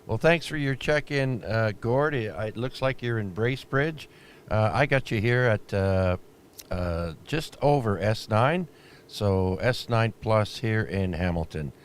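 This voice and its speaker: A man acting as net controller on an amateur radio net, talking in a relaxed, halting way with many fillers. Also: on the low side. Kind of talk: ham radio net chatter. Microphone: radio transceiver mic. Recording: noisy shortwave radio audio.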